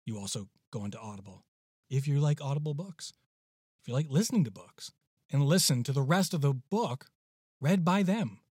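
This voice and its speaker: A man's voice: medium pitch, expressive, moderate pace, darkish tone, breathy edge, no echo, studio quality. A man speaking as a podcast host, giving a casual outro.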